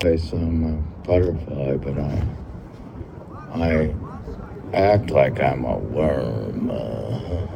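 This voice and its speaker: A man's voice: rumbling low, slow pace, pauses often. A man in his sixties hosting a podcast, reading aloud and adding meandering, musing commentary as if talking to himself. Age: 60s. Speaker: podcast host. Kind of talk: reading a classic text aloud with rambling personal commentary. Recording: clearly noisy recording.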